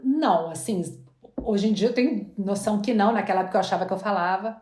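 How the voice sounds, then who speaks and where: A woman's voice: mid-range voice, quick pace, darkish tone. Chatty woman in a roomy space. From Brazil. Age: 40s. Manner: chatty, restarts words often. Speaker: guest entrepreneur. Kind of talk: casual interview chat. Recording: roomy echo.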